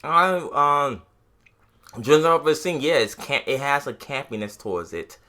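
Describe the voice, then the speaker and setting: A man's voice: medium pitch, lively intonation, a little slow, sharp tone. A man co-hosting a podcast in casual chat about movies, speaking bluntly with some swearing and often repeating his phrases. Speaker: podcast host.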